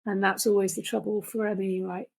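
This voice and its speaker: Older woman, gravelly and husky, podcast guest, reflective conversation, earnest deliberate phrasing. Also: medium pitch, flat delivery, a little mellow.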